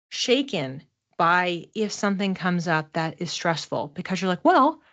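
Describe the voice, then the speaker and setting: An encouraging woman giving an informal, conversational personal card reading with emphatic delivery, in the manner of a wellness coach. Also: mid-range voice. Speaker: intuitive reader and wellness coach. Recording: slightly dull, lo-fi recording.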